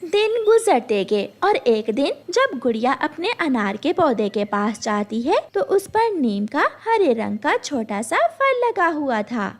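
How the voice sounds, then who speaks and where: A woman's voice: high voice, big pitch swings, takes it slowly, few pauses. A woman narrating a scripted children's moral story as a storyteller.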